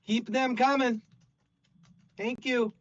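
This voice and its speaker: An older man with a loud voice hosting a livestream, chatting casually and stammering as he talks to chat. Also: extremely high pitch, fairly even intonation, slow pace, dull audio. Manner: rambling, stammering, warm toward chat. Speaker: stream host.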